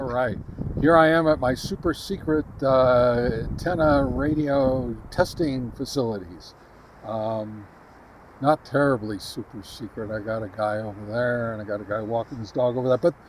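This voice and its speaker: A man hosting a casual on-location gear test, talking to the camera in a halting, conversational way. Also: medium pitch. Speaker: radio hobbyist reviewer and host. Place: outdoors, on a back deck and in a park.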